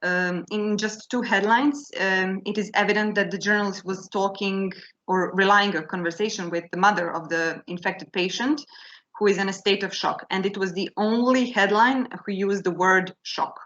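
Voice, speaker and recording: A woman giving an academic conference talk, in the language, speaking haltingly with many fillers and restarts. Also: medium pitch, steady tone, fairly bright tone, muffled recording. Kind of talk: academic presentation. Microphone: video call.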